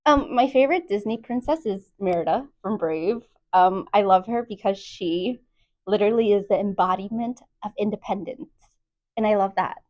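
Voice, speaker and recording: A woman's voice: mid-range voice, normal speed, darkish tone, rough recording. A twenty-three-year-old woman answering casually, meandering with lots of "like"s. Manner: dreamy, meandering, many "like"s. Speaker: contestant.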